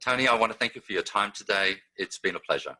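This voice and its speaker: A man's voice: lowish voice, expressive, fast talker, pushed and bright, rough recording. A man hosting an interview, asking formal questions and opening each one with the guest's name. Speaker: interview host.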